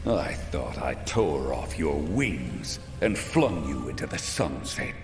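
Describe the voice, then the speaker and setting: A man's voice: very deep voice, big pitch swings, slow, heavily acted. A man playing a huge, menacing demon king in a video game, disdainful and imposing. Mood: scornful. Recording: echoey cavern reverb. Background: dramatic music.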